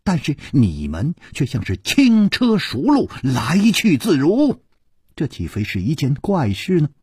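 A man voicing a slow, shrewd, husky wuxia hero. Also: on the high side, huge pitch swings, a little mellow, no room sound, studio quality, pitched above the performer's own voice, exaggerated voice. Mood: composed, probing. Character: calm, shrewd male hero reasoning out a mystery. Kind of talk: wuxia audiobook character voice.

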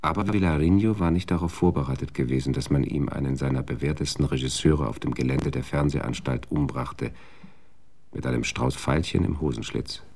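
Casting: Mann als Erzähler, sehr tiefe, heisere Lage, gemessener Ton. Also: male voice, a bass voice, a little fast, darkish tone. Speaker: narrator. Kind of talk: scripted radio drama narration.

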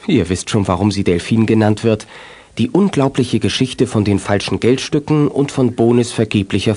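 A man's voice: lowish voice, darkish tone. A man narrating a children's detective radio play as a composed storyteller, with scripted delivery.

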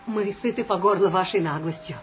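A woman's voice: lowish voice, fairly quick, faint music behind, lightly acted. An actress in a radio play as a bossy, scornful female editor with an imperious, exasperated manner. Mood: bossy, indignant. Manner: imperious, indignant.

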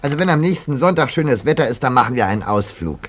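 An actor playing a coddled, eager and petulant grown son in a German radio play, protesting boyishly. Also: male voice, mid-range voice, animated, quick pace, close to an everyday voice.

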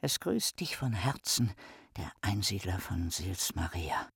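An actor playing a lonely, tormented, bitter philosopher in a radio drama, with theatrical, declamatory delivery. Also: male voice, big pitch swings, measured pace, vocal fry, full character voice. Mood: bitter, brooding. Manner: theatrical, declamatory, swinging from muttering to shrill outbursts. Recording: music behind the voice.

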